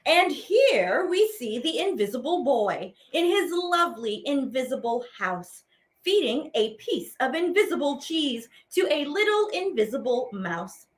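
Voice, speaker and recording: Expressive woman reading playfully, high and lively. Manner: playful, theatrical storytelling with drawn-out words and sound effects. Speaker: teaching artist and program host. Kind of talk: expressive read-aloud of children's poems.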